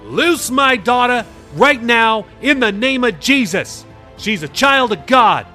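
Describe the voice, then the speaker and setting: A man playing a middle-aged pastor and father who prays with loud, commanding authority. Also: very high-pitched, lively intonation, slow, soft background music, pitched above the performer's own voice, full character voice. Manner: loud, forceful, commanding prayer.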